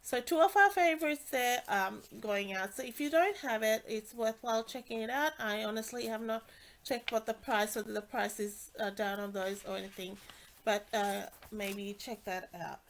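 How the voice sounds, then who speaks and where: A woman's voice: on the high side, moderate pace. A woman with a congested, nasal voice, casually walking through craft products with many fillers and restarts. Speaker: stamping demonstrator.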